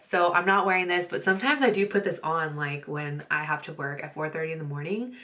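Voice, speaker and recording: A woman's voice: low voice, brisk, sharp tone. A young woman with a stuffy nose, chatting casually in a beauty vlog and rambling along apologetically as she films at home. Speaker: beauty vlogger. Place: home filming setup.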